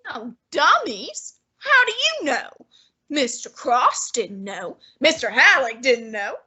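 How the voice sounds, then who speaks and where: A grandly self-assured detective lays out his reasoning in crisp, measured phrases, voiced by a woman. The voice sits high and bright with a breathy edge. It is an old-time radio mystery done by student actors. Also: very animated, full character voice. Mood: deducing. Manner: precise, lofty, measured diction. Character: portly, pompous male genius detective, unflappable.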